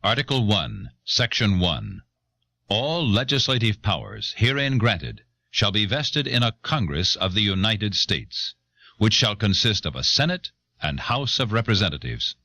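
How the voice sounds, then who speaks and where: A man's voice: lowish voice, sing-song, unhurried, sharp tone. A man formally reading out a legal document in a solemn, declarative manner. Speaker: reader of legal text.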